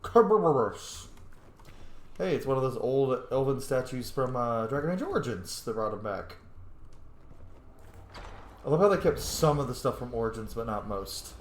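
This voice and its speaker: A man's voice: mid-range voice, lively intonation, normal speed, long pauses. A man giving casual, informal let's play commentary as a channel host, reacting wryly aloud. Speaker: video game streamer, channel host. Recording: echoey room, faint game noise.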